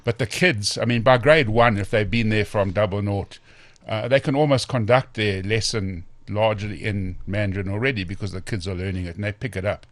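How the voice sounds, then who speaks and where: A man's voice: on the low side, a little fast, few pauses, no echo. A man from South Africa speaking for his school as a podcast guest, explaining plainly with occasional ums. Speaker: school representative.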